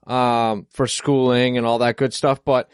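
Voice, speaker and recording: A man's voice: mid-range voice, level tone, unhurried, clean tone, no echo, clean studio sound. American male podcast host, agreeable and casual. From United States. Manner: supportive, with frequent short agreements. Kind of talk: casual interview.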